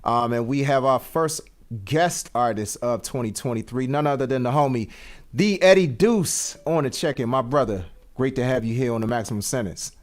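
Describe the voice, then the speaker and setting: A man's voice: mid-range voice, moderate pace. Effusive man hosting a casual livestream. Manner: effusive, repeats greetings and thanks. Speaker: show host.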